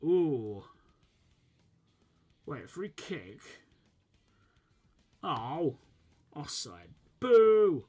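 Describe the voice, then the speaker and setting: A man's voice: on the high side, big pitch swings, drawn-out words, pauses often, a little mellow, soft background music. A young man, slightly sniffly from a fading cold, casually commentating his football management game while thinking aloud with many fillers. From United Kingdom. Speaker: gaming channel host.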